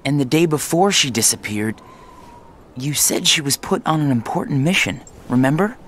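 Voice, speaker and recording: A young man voicing an earnest, troubled teenage boy in a video game, speaking softly and haltingly. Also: a little high, animated, a little hiss or hum. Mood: subdued, wistful. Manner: hesitant, soft-spoken, halting. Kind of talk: dramatic video game voice acting. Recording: music under the voice.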